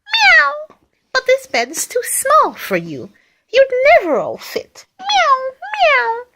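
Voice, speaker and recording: A woman's voice: very high voice, huge pitch swings, slow, bright, forceful tone, slight background noise, pitched above the performer's own voice, heavily acted. A woman voicing a small, cheeky storybook kitten who punctuates speech with meows. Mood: cheeky, defiant. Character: small eager kitten, cheeky and sure of itself.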